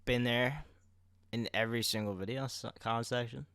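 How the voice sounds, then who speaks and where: A man's voice: medium pitch, fairly even intonation, normal speed, a bit bright, smooth, no echo, clean studio sound. A man talking casually as co-host of a podcast, addressing listeners in everyday conversation. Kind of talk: casual chat.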